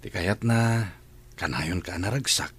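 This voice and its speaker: A man acting a gentle, tender father in an Ilocano radio drama, coaxing as if to a small child. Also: deep voice, a little slow, slightly rough, exaggerated voice. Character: gentle father soothing his little princess.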